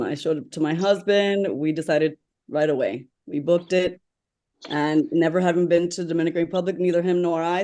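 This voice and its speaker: A woman's voice: deep voice, darkish tone. Chatty woman on a call. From lives in Orlando, Florida. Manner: chatty, many ums. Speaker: guest, entrepreneur mother. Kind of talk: casual video-call chat. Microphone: video call.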